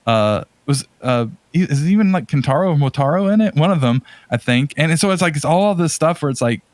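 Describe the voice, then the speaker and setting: A man's voice: on the high side, lively intonation, fairly quick, no echo. A man co-hosting a podcast, chatting casually and enthusiastically, rambling as he thinks aloud. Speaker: podcast co-host. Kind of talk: casual chat about video game movies.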